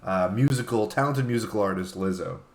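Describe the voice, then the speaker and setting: A man guesting on a comedy talk show, bantering casually and reading posts aloud in a halting way. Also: on the low side.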